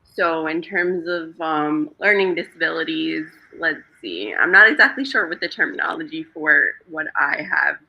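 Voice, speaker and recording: A woman in her thirties, a Greek native speaker, talking openly as a podcast guest, with halting restarts. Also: deep voice, expressive, creaky, muffled recording. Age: 30s. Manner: open, laughs easily, halting restarts. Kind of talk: casual podcast chat.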